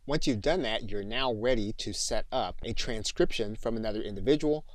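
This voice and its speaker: A man with a fairly low voice narrates a software tutorial as an instructor. He explains each step in order in an instructional way. The sound is very dry, with no room echo. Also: normal speed.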